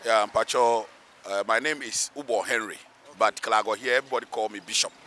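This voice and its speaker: A man's voice: fairly low pitch, fairly even intonation, a little fast, sharp tone, slight background noise. A man with a Ghanaian accent speaking emphatically as a local assemblyman in an outdoor interview, repeating phrases for weight. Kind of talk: spoken interview, pleading advocacy. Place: outdoors beside a road underpass.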